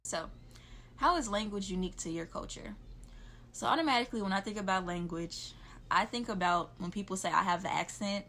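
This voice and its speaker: A woman's voice: medium pitch, a little fast, a bit bright, slight background noise. A young woman from the south side of Chicago, with a Chicago accent, chatting casually as she explains local slang. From south side of Chicago, USA.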